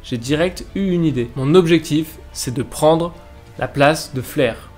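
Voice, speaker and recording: A man's voice: a little high, unhurried, a little mellow. Chatty young French man telling a story. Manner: casual, chatty, addresses viewers directly. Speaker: YouTuber narrating a story. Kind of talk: storytelling vlog narration. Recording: faint music bed behind voice.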